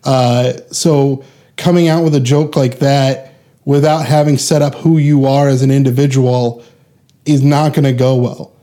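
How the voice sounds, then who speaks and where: Earnest comedian man, mellow voice. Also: mid-range voice, fairly even intonation, a little slow. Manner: earnest storytelling. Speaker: stand-up comedian. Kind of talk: casual podcast chat.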